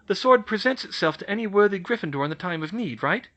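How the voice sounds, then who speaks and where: A man's voice: sits high, expressive, quick pace, a bit bright, highs cut off, voice pushed up. A man voicing an earnest, bookish young woman who argues eagerly. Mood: insistent.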